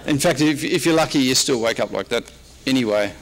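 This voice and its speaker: A man's voice: mid-range voice, lively intonation, fairly quick, a bit bright. An Australian man presenting as a historian in an informal slide-show talk, casual and dryly humorous. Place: convention presentation room, speaking at a microphone.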